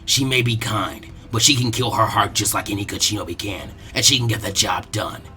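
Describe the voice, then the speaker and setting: Guy doing a deep taunting ninja villain, slow n mocking, story reading w music under. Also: bright, forceful tone. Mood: menacing. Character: menacing, taunting male mercenary ninja swordsman. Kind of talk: fan fiction audiobook reading.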